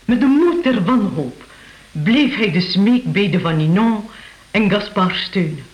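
A woman's voice: mid-range voice, animated, measured pace, close to an everyday voice, rough recording. An actress playing an aristocratic lady who tells her family's history in a stately, formal, theatrical way for a radio drama. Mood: solemn storytelling.

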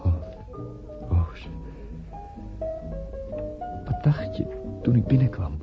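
Lovestruck romantic man, low voice, dull old radio play recording. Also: lively intonation, takes it slowly. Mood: tender, questioning. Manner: tender, wondering. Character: enraptured, searching romantic suitor in a bar. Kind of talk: romantic radio drama acting. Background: loud music.

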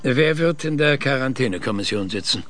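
An actor in a radio drama playing a proud, opinionated old scientist who speaks pompously and searches for words. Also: male voice, mid-range voice, animated, brisk, a bit bright, a little hiss or hum, natural voice. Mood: dry, eager. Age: older.

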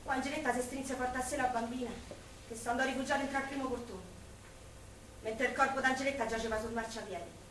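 High-voiced Roman woman in echoing theatre. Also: fairly even intonation, fast, fairly bright tone. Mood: sorrowful, narrating. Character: working-class Roman woman of the wartime forties, passionate. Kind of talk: Italian stage drama acting. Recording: echoey theatre, distant mic, faint noise.

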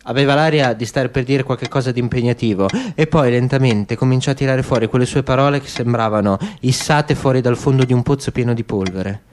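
Medium-pitched man narrating radio drama. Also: fairly quick, hardly any pauses, a little mellow. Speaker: narrator, actor. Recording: faint background noise.

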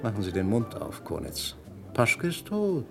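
Shrewd blunt police detective, lowish voice, old radio crime play, music behind. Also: male voice, wildly swooping pitch, measured pace, gravelly, heavily acted. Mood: stern, pressing. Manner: blunt, probing, interrogating. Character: shrewd, persistent male police detective inspector. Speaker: actor in a radio crime drama. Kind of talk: German radio crime play acting, 1950s to 80s.

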